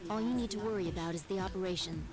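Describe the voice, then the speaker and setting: An actress voicing a young female hospital nurse in a video game, giving brisk briefings. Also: mid-range voice, natural voice. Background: game music.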